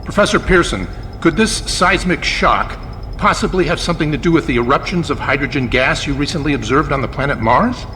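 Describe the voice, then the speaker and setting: A man playing a formal, inquisitive radio news reporter in an old-time broadcast drama, with a deliberate announcer delivery. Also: on the high side, expressive, sharp tone, in a large hall, a little hiss or hum. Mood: formal, urgent.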